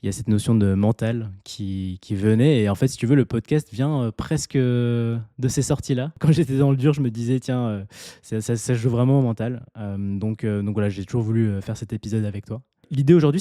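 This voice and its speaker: A man's voice: mid-range voice, mellow, no echo, clean studio sound. A man hosting a podcast interview, talking with many hesitations and restarts. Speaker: podcast host. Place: home near the mountains.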